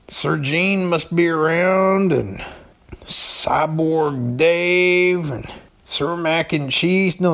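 A man's voice: on the high side, gravelly, a bit bright. A man chatting casually and comically as a podcast storyteller, laid-back and hesitant. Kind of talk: casual comedic chat.

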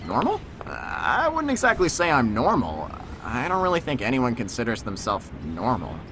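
A man's voice: medium pitch, huge pitch swings, normal speed, fairly bright tone, slight background noise. A young man playing a wry, dry-humored ordinary guy on a date in an audio drama.

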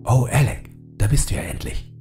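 A man's voice: mid-range voice, expressive, moderate pace, darkish tone, echoey room, faint music behind, voice pushed up, natural voice. A man voicing a welcoming, talkative male neighbour in a horror audiobook, friendly and conversational. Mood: pleased.

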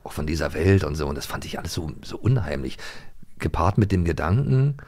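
A man's voice: sits low, big pitch swings, fairly quick, a little mellow. A man in his thirties co-hosting a podcast, talking with enthusiasm in a casual conversation and chuckling easily. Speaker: podcast co-host. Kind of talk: casual chat.

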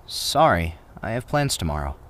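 Manga dub schoolboy male actor: deep, laconic, understated, yet tuneful in intonation. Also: big pitch swings, normal speed, a bit bright. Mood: reserved, matter-of-fact. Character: reserved, matter-of-fact teenage schoolboy.

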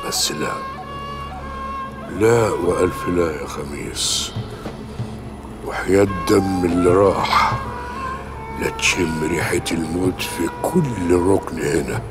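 A brooding vengeful man, slow and deep. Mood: bitter vengeful. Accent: Egyptian Arabic. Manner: brooding, declamatory. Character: bitter vengeful man vowing revenge. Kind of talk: dramatic monologue. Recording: loud music score behind voice.

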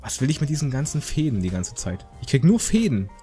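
Animated man commentating a game. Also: mid-range voice, a little fast, faint music behind. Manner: mock-indignant, rambling, slangy. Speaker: gaming video host. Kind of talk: casual joking gameplay commentary.

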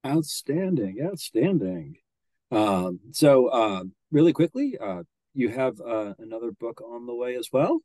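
Halting, casual host, dry sound. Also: male voice, medium pitch, big pitch swings, mellow, dry recording. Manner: casual, filler-heavy, halting. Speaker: host of a reading event. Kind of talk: hosting a live online reading Q and A. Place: home office with bookshelves. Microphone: video call webcam.

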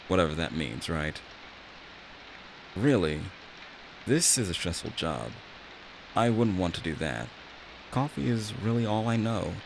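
A man with a low voice plays a friendly barista in an ASMR roleplay. His delivery is chatty and reassuring, with lively swings in pitch. Rain and hiss sit clearly behind him. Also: rough recording. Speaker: voice actor in an audio roleplay.

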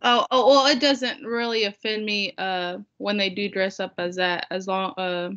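A woman's voice: mid-range voice, fairly even intonation, normal speed, a bit bright. A young woman, a native Spanish speaker, chatting casually and a bit hesitantly as a guest on a video call. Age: young adult. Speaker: seminar guest. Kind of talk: casual conversation. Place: indoor room on a video call. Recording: dull, lo-fi video call audio.

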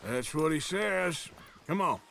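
An actor playing a gruff, wry American outlaw gang enforcer in a video game, drawling dry threats. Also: male voice, a little high, lively intonation, takes it slowly, fairly bright tone, a little hiss or hum, full character voice. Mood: dry threatening. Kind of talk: cinematic video game acting.

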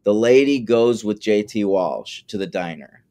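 A man's voice: on the low side, lively intonation, measured pace, dark tone. A man in his forties co-hosting a casual film podcast, wry and rambling, with casual swearing. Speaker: podcast co-host.

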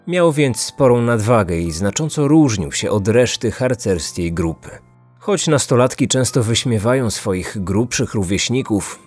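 A man's voice: fairly low pitch, a little mellow. A man hosting a podcast and reading scripted true-crime narration. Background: faint music.

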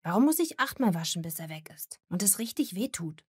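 Woman acting a meek, husky-voiced young girl. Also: on the low side, sing-song, a little fast, a little mellow, no room sound, clean studio sound. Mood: meek, pleading. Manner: timid, submissive. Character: meek, hungry girl, obedient but pleading. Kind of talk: radio play acting.

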